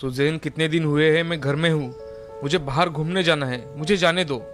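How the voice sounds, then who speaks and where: Guy doing a gentle big brother in a cartoon, fairly high voice, soft music behind. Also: normal speed, close to an everyday voice. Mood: pleading. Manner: gentle, reassuring. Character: caring older brother, young, later a deer. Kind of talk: cartoon story voice acting.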